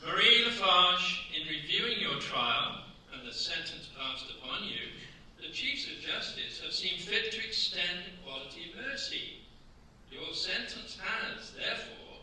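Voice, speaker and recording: A stern, commanding official, played by a man in a staged radio drama. He declaims formally in a high, forceful voice that echoes heavily from a distance. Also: animated, measured pace, heavily acted. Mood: stern, solemn. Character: stern, commanding French judge and prison governor. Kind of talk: staged radio play acting. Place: theatre stage before a mic. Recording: very echoey hall, distant mic, noise.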